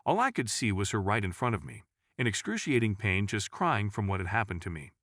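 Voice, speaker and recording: Low-voiced man narrating a story, dry recording. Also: sing-song, fairly quick, fairly bright tone, no echo. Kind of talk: scripted first-person story narration.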